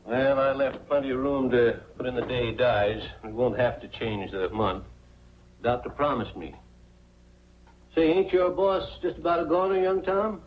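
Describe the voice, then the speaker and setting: An actor playing a drily morbid small-town monument carver, laconic and folksy, in 1940s film style. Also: male voice, mid-range voice, steady tone, moderate pace, close to an everyday voice. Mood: dry, casual. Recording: noisy, dull 1940s film soundtrack.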